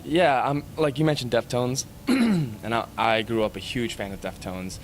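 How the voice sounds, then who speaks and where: A man's voice: medium pitch, moderate pace, a little hiss or hum. A man in his thirties, a band musician, answering interview questions in a hesitant, rambling way full of fillers. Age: 30s. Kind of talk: casual interview.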